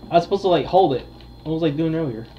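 A man's voice: fairly high pitch, animated, racing, mellow, muffled recording, a little hiss or hum. A man giving casual commentary on a horror game, talking to his viewers and thinking aloud as he plays. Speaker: gamer, video host.

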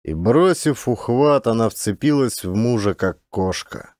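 A man's voice: medium pitch, expressive, a little slow, a little mellow, no echo, studio quality. A man reading a scripted literary story as an audiobook narrator.

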